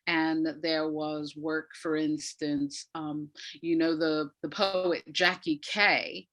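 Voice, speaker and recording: A woman with a fairly low voice speaks at a very unhurried pace as an interview guest. She is reflective and emphatic, leaving pauses between phrases as she explains her views conversationally. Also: little pitch movement, a bit bright, slight background noise. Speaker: interview guest, arts practitioner.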